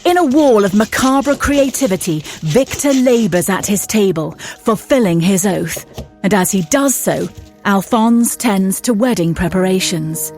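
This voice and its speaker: A woman narrating a gothic audio drama as a solemn storyteller, measured and literary. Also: medium pitch, lively intonation, a little mellow, faint music behind. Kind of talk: scripted narration.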